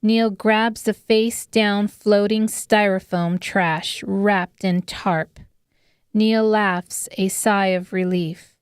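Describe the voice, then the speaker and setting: Slow, smooth-voiced woman narrating a dark story. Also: medium pitch, no room sound, clean studio sound. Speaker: audiobook narrator. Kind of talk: scripted dramatic story narration.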